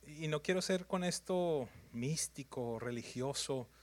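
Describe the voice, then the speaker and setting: A man's voice: fairly high pitch, measured pace. A man preaching a sermon in numbered points, emphatic and repetitive, often putting questions to his congregation.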